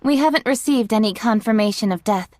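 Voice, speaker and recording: A woman's voice: a little high, brisk, darkish tone, dry recording, natural voice. A woman playing a businesslike young female android squad leader in a video game, dutiful and curt, speaking formally and with composure. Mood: firm. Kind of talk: English video game voice acting.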